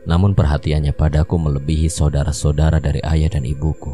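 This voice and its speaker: A man narrating a mystery story in the first person, calm storytelling. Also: rumbling low, fast talker. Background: faint music.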